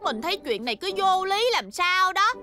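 A woman's voice: extremely high pitch, big pitch swings, normal speed, over music. A woman voicing a stubborn, hot-tempered little cartoon schoolgirl. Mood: grumbling, regretful. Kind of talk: cartoon dubbing.

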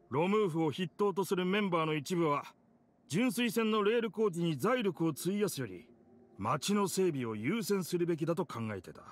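An actor playing a rough-spoken, loyal young gentleman in a Japanese video game, blunt and emotive. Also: sits high. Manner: emotive, blunt casual speech.

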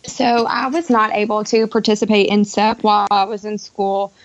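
A woman in her thirties explains things as an interview guest, keeping her intonation very even. The sound is dry and a little lo-fi, as from an internet call. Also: medium pitch, moderate pace, no echo. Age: 30s. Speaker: podcast guest, pharmacist. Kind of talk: conversational interview answers. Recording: slightly dull online-call audio.

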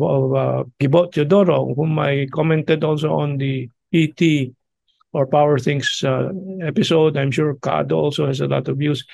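An older man with a Filipino accent hosting news commentary, halting and opinionated, reading articles aloud with his own asides. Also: fairly high pitch, vocal fry, a little mellow, no echo. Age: 60s or older. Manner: halting, opinionated, with frequent pauses. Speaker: host of an online news commentary show.

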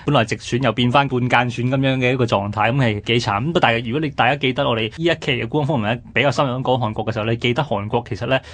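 A man with a Hong Kong Cantonese accent talks at a very rapid clip in a rough-edged, husky voice. He sounds like a radio host explaining history conversationally, often posing questions and answering them himself. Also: medium pitch, a bit bright. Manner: explanatory, peppered with rhetorical questions.

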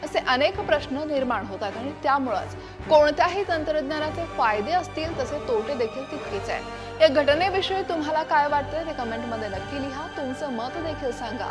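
A woman's voice: high-pitched, expressive, brisk. A woman reading a scripted news explainer as a presenter. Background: background music.

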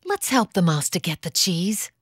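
A woman giving scripted children's educational narration, gentle and patient, asking toddlers simple questions. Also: fairly low pitch, big pitch swings, moderate pace, slightly rough, dry recording, studio quality. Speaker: narrator of a children's alphabet video. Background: children's background music.

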